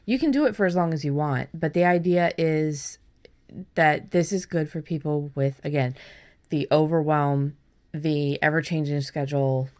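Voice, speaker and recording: A woman's voice: low voice, normal speed, a little mellow. A woman hosting a podcast, giving conversational advice in an explanatory, encouraging way. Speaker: podcast host.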